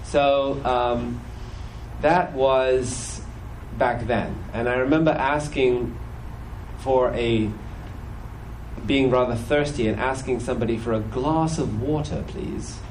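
A man's voice: mid-range voice, darkish tone, poor audio. A middle-voiced British man talks through a Sunday sermon in an easy, joking way, his accent worn down by years in America. He leans on plenty of "you know"s and speaks into a stand microphone on a church stage, with a faint hiss behind him. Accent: British, softened by years in America. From British, raised in several countries. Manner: conversational and good-humored, many "you know"s, puts on quick voices. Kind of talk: Sunday sermon with personal testimony.

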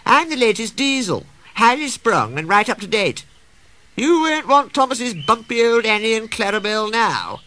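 A man's voice: high-pitched, sing-song, moderate pace, sharp tone, pitched above the performer's own voice, full character voice. A man voicing a vain, cheerful female railcar engine with an affected, self-important manner in a children's storybook. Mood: bright, showy. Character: vain, haughty, cheerful female diesel rail car.

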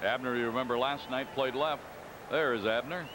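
A man calling baseball play-by-play in a calm, conversational way, reading out stats between pitches. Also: normal speed. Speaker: play-by-play announcer. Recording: broadcast booth over loud crowd noise. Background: stadium crowd ambience.

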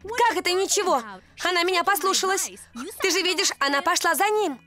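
A woman's voice: very high-pitched, brisk, pushed and bright, soft background music. An actress voicing a cheerful, encouraging teenage girl angel in a cartoon dub. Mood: eager.

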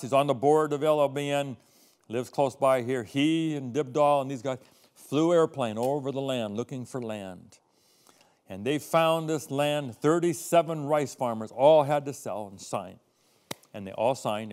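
Older pastor preaching with swelling emphasis. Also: male voice, mid-range voice. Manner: swings from soft asides to loud, emphatic scripture reading. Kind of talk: sermon. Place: studio set with a podium.

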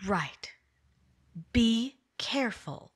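A woman playing a cool, practical accomplice in an old-time radio crime drama, speaking with quiet urgency. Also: on the high side, animated, very slow, slight background noise. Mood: hushed, calm. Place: indoors at home, video call setup.

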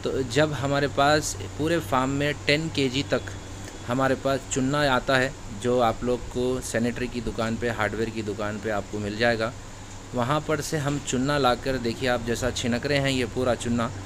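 A man's voice: medium pitch, steady tone, moderate pace, a bit bright, a little hiss or hum. Man guiding viewers through his goat farm. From Hyderabad, India. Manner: friendly instructional talk with religious greetings. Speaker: goat farmer and channel host. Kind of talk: casual how-to vlog narration. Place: indoor goat shed, off camera.

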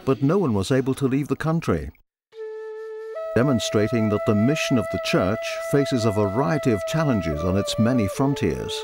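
A man giving scripted documentary narration in a measured voice. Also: mid-range voice, lively intonation. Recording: voice-over with music behind.

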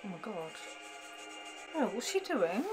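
A woman's voice: mid-range voice, big pitch swings, measured pace, loud music behind the voice. A woman in her twenties co-hosting a reaction video in casual, giggly, exclamatory chat. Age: 20s. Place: small furnished room indoors.

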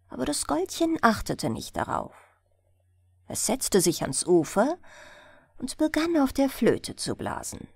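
A woman's voice: fairly high pitch, dry recording, clean studio sound. A woman reading a bedtime fairy tale expressively as an audiobook narrator. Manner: expressive storytelling.

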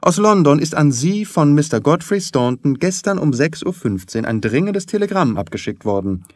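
A man playing a composed, shrewd gentleman detective in an audiobook, polite, precise and insistent. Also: on the high side, big pitch swings, normal speed, no room sound, studio quality, pitched above the performer's own voice. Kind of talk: audiobook character acting.

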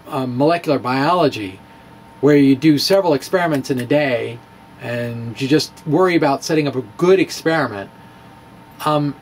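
A middle-aged man talking in a halting, wry, conversational way as he presents his personal blog on physics into a close mic. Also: fairly high pitch, slight background noise. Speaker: amateur physicist and video blogger. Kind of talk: dramatic reading of a personal blog, loosely conversational. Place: small indoor room with bookshelves. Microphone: handheld-style dynamic mic on a stand, close up.

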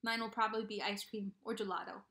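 A young woman hosting a casual vlog to camera, talking in a bubbly, playful, animated way in a small room. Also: medium pitch, fast talker. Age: young adult. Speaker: YouTube host of a book channel. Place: small bedroom indoors. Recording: echoey untreated room.

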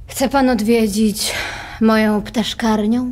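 An actress playing a proud, defiant teenage aristocratic girl in a verse radio play, solemn and deliberate. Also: fairly high pitch, fairly even intonation, a little mellow. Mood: cool, guarded. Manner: solemn, deliberate, poetic delivery. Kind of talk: theatrical verse drama acting.

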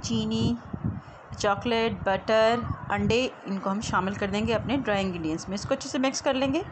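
A woman's voice: on the high side, moderate pace. A woman giving a casual recipe voice-over as a home cooking host. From Pakistan. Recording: clearly noisy homemade voice-over recording.

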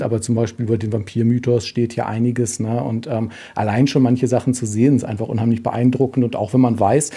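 A man comments casually as a guest. His voice is very dark and soft-edged, with a husky grain. He searches for words as he goes, in a hesitant conversational way. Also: mid-range voice. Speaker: author, podcast guest. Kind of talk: casual spoken commentary on an exhibition.

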